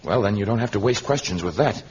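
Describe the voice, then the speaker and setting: An actor playing a noble, earnest and commanding jungle hero in a vintage radio serial, with formal, deliberate diction. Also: male voice, on the low side, lively intonation, darkish tone, lightly acted. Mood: urgent. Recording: dull noisy vintage radio recording.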